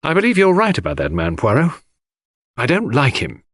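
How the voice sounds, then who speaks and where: A man voicing an earnest, loyal gentleman sidekick with a hearty, old-fashioned chummy manner in a mystery audiobook. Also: fairly high pitch, huge pitch swings, normal speed, no echo, clean studio sound, pitched above the performer's own voice, full character voice. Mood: candid.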